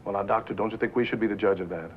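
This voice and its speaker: An actor playing a smooth, insistent broadcasting executive in a 1950s film drama, formal and coaxing. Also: male voice, on the low side, racing, close to an everyday voice. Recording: tinny, narrow 1950s film soundtrack, faint noise.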